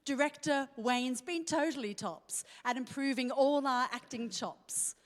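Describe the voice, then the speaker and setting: Higher-voiced woman introducing a show. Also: unhurried. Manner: playful, stagey. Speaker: producer giving an introduction. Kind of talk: scripted rhyming welcome speech. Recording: reverberant hall.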